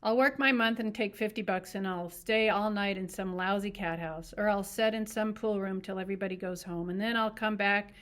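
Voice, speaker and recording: A woman's voice: medium pitch, steady tone, normal speed, few pauses, fairly bright tone, close to an everyday voice. A woman voicing a worried male ranch worker who gives urgent, clipped instructions, in a storybook reading.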